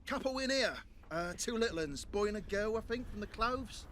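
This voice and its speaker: An actor playing an uneasy, deferential looter's underling who talks hesitantly in a horror audio drama. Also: male voice, high-pitched, animated, moderate pace, a bit bright, a little hiss or hum. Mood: uneasy, morbid.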